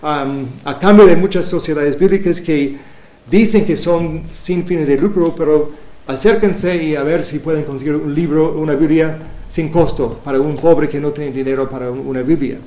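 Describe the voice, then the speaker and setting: Halting non-native Spanish-speaking man, echoey. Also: on the high side, mellow. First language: not Spanish. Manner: halting, many restarts and fillers. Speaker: Bible translation coordinator. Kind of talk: church presentation.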